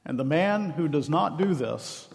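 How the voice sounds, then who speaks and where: A minister preaching a sermon, earnest and emphatic, stretching key phrases slowly. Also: male voice, fairly high pitch, animated, creaky, a little mellow. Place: church sanctuary. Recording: long reverb of a large hall.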